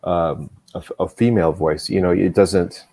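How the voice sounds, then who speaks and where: A man chatting casually on a stream in an unhurried, rambling way with many fillers. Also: sits low, steady tone, vocal fry, warm tone, slightly rough. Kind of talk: casual chat.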